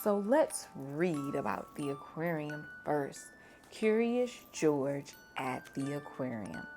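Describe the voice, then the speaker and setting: A woman reads a children's picture book aloud, taking her time and leaning into the exciting bits with a gentle, expressive lilt. Her voice sits a little low for a woman, and soft music plays quietly beneath her. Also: slow. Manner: gentle, expressive, lively on exclamations. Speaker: storytime reader.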